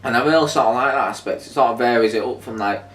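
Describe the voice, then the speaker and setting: A man's voice: medium pitch, racing, a bit bright. Male review co-host, conversational and hesitant, thinks aloud; roomy, off-mic sound. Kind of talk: casual chat reviewing an audio drama. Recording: echoey room, distant mic, faint music behind. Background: faint music.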